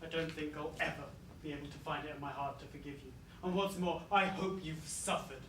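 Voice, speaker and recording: A man's voice: on the high side, fairly even intonation, fairly quick, natural voice. A middle-aged man playing a grieving father recalling his daughter's death, in a stage drama. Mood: bitter. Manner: hushed recollection breaking into anguished shouts. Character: grieving father of a killed girl, raw and haunted. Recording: echoey stage from a distant mic, noisy.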